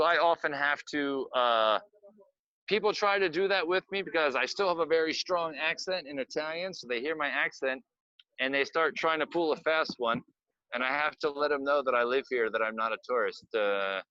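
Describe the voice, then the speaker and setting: A man's voice: a little high, moderate pace, bright, forceful tone, no echo. A man guiding a live virtual walking tour outdoors, chatty and rambling, talking into a phone. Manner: rambling, chatty, self-interrupting. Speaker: tour guide. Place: outdoor city street and cafe. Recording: dull, lo-fi video call audio.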